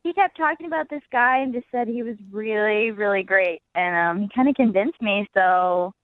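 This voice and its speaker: A woman phoning a radio show. The line is narrow and tinny with a dry sound, and she talks casually at a middling pitch. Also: normal speed, dry recording. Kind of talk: casual chat. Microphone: phone call. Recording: muffled narrow phone line.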